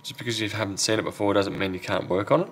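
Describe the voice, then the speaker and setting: A man with a fairly low voice talks casually through hands-on repair work. His delivery is unscripted and half to himself, like a technician narrating at the bench. Background noise is clearly audible behind him. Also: fairly even intonation, quick pace, a bit bright. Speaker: phone repair technician and video host. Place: indoor repair workbench.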